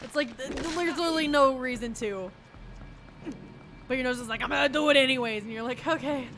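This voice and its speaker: High-voiced girl streaming a game, sniffly, snarky and chatty, music under her. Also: a little fast, a bit bright. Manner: sarcastic, chatty, talks to the game and her chat. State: sniffly from allergies. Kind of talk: casual let's-play commentary.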